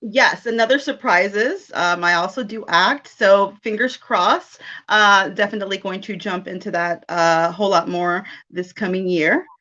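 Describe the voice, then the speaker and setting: A woman's voice: mid-range voice, normal speed, few pauses, bright, forceful tone, muffled recording. A woman in her late thirties from South Texas, a medium chatting as an interview guest, rambling with lots of fillers and frequent giggles. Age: 30s. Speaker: guest, medium and paranormal investigator. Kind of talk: casual interview chat.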